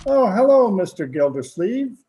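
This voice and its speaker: Mild older shopkeeper, high-pitched, soft dark tone, deferential, old-time radio comedy. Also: male voice, sing-song, normal speed, rough recording. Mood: polite.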